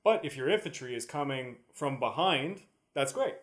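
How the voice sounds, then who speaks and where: A man's voice: medium pitch, animated, moderate pace, fairly bright tone. A Canadian man in casual podcast conversation, explaining things step by step through examples. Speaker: podcast co-host.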